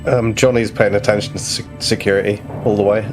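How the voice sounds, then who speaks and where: A man's voice: on the low side, fairly even intonation, a little fast, music in the background. A man talking casually about his character as a player in a tabletop roleplaying game.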